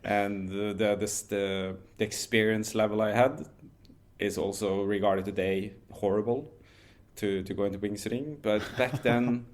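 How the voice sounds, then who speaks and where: A man, telling a story as a podcast guest in a relaxed, measured way with frequent restarts. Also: lowish voice. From Norway. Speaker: guest, wingsuit pilot.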